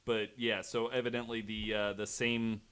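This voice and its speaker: A man with a fairly low voice tells a story as a podcast panelist. His delivery is casual and conversational, with hesitant, halting phrasing as he works from notes. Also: little pitch movement, a bit bright, clean tone.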